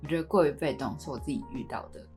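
A woman's voice: a bass voice, racing, darkish tone. A woman in her early twenties with a Taiwanese Mandarin accent co-hosts a casual, conversational podcast. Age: early 20s. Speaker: podcast co-host. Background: background music with guitar.

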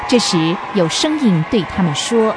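A woman's voice: mid-range voice, very animated, normal speed, poor audio. A woman narrating a radio drama as a storyteller, reading from a script.

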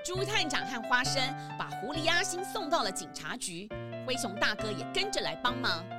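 A woman narrating a children's story in a lively, sing-song storytelling manner. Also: sits high, normal speed, over loud music.

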